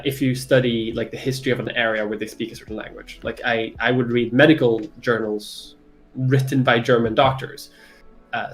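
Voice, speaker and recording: A man whose first language is Swedish co-hosts a casual livestream, speaking in a middle-pitched voice. He rambles loosely and swears freely, with faint music playing behind him.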